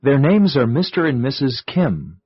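A man voicing Tom, a polite young man in a scripted English textbook dialogue, enunciating clearly for learners. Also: mid-range voice, big pitch swings, normal speed. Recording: muffled lo-fi tape.

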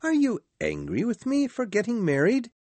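A man voicing a cheerful, warm-hearted young nephew in a storybook, earnest and exclaiming as he pleads with his uncle. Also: very high voice, wildly swooping pitch, moderate pace, no echo, voice pushed up, full character voice. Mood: surprised, pleading. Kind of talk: storybook character voice.

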